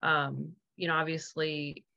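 A woman's voice: low-pitched, flat delivery, a little slow, gravelly, bright, forceful tone. A woman co-hosting a church podcast, sharing her view in a hesitant, thinking-aloud way. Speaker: podcast co-host. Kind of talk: casual conversation. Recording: dull lo-fi sound.

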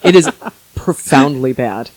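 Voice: mid-range voice, animated, measured pace, a little mellow, dry recording, rough recording. A podcast host in their forties chatting casually, joking in a wry, exasperated way. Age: 40s. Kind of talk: casual banter.

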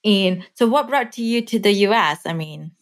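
A woman's voice: medium pitch, expressive, moderate pace. A woman hosting a podcast interview, asking questions in a friendly conversational way. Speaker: podcast host.

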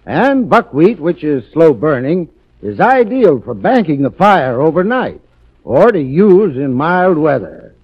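A man's voice: on the high side, sing-song, unhurried, slightly rough. An American man giving scripted, instructive 1940s radio advertising advice as a folksy expert spokesman. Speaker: commercial spokesman, heating expert. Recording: muffled vintage 1940s radio recording.

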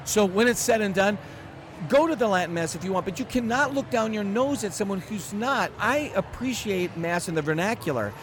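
A man in his forties, a guest on a casual podcast interview, talking in an animated and emphatic way with self-deprecating humor. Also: high-pitched, fairly quick. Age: 40s. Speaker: guest speaker. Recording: outdoor noise in background.

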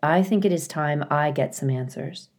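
A woman voicing a female robot mother in a storybook, calm, earnest and tenderly devoted, with some sadness. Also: low-pitched, moderate pace. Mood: earnest, uncertain.

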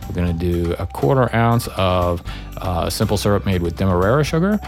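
A man hosting a cocktail podcast from his home studio, relaxed and full of "uh" fillers. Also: low voice, fairly quick, gravelly, music in the background. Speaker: podcast host.

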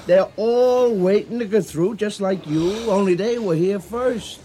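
A stern, unbending security guard in a vintage radio drama. His voice is high for a man, curt and firm, with faint ambient noise around it. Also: a little slow, warm tone. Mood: stern, impatient. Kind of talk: 1980 radio drama acting.

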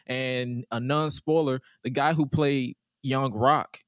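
A man's voice: mid-range voice, steady tone, slow, clean tone, no room sound, studio quality. A man in his twenties hosting a podcast in a loose casual chat, profane and repeating himself. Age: 20s. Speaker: podcast host.

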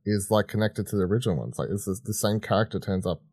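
A man co-hosting a film podcast in casual chat, halting and rambling as he thinks aloud. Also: fairly low pitch, a little mellow, slightly rough, dry recording, clean studio sound. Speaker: podcast co-host.

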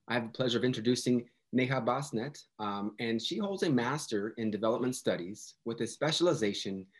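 A man co-hosting a podcast, talking in a measured way and hedging with "you know" and "I think". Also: mid-range voice, breathy edge. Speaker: podcast co-host.

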